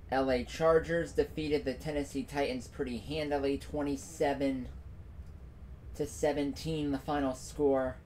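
A man's voice: mid-range voice, darkish tone, a little hiss or hum. A young man hosting a solo sports stream from a small room at home, recapping the day's football games with emphatic repetition into a desk mic. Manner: emphatic, repeats phrases for effect.

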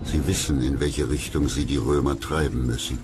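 Very deep-voiced male documentary narrator. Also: fairly even intonation. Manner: dramatic, measured storytelling. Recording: loud music under the voice. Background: music.

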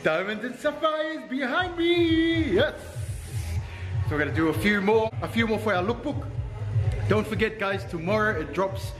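A man from Samoa in his thirties talks casually to a handheld camera, first in a parked car and then in a hair salon. His voice sits high and jumps around a lot, and he sounds upbeat and chatty, often ending his lines with "yo". Music plays clearly behind him throughout. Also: expressive, normal speed. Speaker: vlogger and salon owner. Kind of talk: casual vlog chat to camera. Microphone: handheld camera held close.

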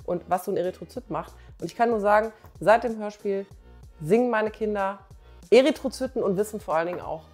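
A woman's voice: mid-range voice, brisk, a little mellow, faint music behind. A woman in her forties chatting casually and emphatically to camera, full of enthusiasm, in colloquial language. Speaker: doctor and author presenting her comic. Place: small living room on a sofa, indoors. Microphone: clip-on lapel mic.

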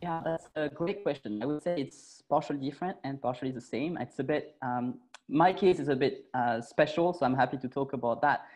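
French guy on a video call, native french speaker, easygoing guest chat, middle pitch. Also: brisk. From France. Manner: relaxed, talks with his hands. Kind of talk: casual interview chat. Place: bright room at home, indoors.

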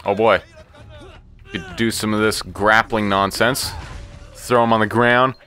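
A man's voice: lowish voice, steady tone, a little slow, sharp tone, faint music behind. A man giving casual, flippant gameplay commentary, with playful little sound effects. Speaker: gaming video host.

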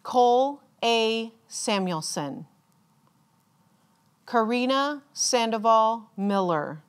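A woman with a slightly high voice serves as a formal ceremony announcer. She reads names extremely slowly, enunciating each one deliberately and leaving measured pauses. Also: lively intonation, clear, resonant tone. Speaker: commencement announcer reading graduates' names.

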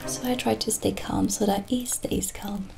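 A middle-aged woman playing a gentle, chatty witch shopkeeper in a soft-spoken, often whispered ASMR roleplay, speaking in a hushed, unhurried way. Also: mid-range voice, steady tone, vocal fry. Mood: calm, chatty. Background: original music.

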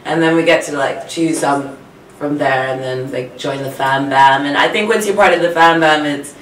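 A young woman with a raspy voice, chatting conversationally as a singer-songwriter guest in a radio interview. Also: low voice, normal speed, a little hiss or hum. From Tanzania. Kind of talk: casual radio interview chat. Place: small indoor radio studio. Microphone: studio condenser mic on boom arm. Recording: roomy studio, slightly distant, faint music.

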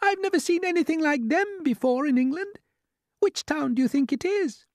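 A man voicing an excitable, curious storybook ladybird who exclaims and asks wondering questions. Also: extremely high pitch, moderate pace, dry recording, studio quality, voice pushed up. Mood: amazed.

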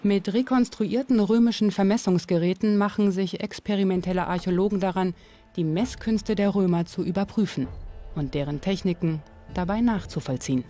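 Female documentary narrator, scripted read, soft mellow tone, music underneath. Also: mid-range voice, brisk. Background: background music.

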